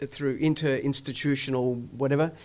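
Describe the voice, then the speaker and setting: A man's voice: mid-range voice, steady tone, moderate pace, a little mellow. Man giving a rambling academic talk. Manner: discursive, many ums and self-corrections. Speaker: professor presenting research. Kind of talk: academic lecture. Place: event hall.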